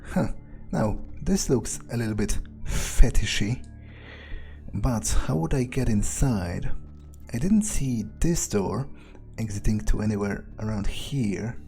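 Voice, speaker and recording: Slow, mellow man muttering through a game. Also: lowish voice, lively intonation, gravelly, soft background music. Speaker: gamer doing an in-character playthrough. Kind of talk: hushed thinking-aloud game commentary in character.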